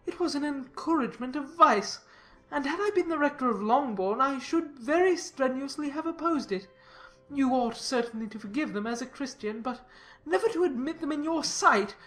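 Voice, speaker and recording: A woman's voice: sits high, fairly bright tone. A woman voicing a pompous, sanctimonious clergyman reading out his formal letter in a dramatised audiobook. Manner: pompous, formal, deliberate emphasis.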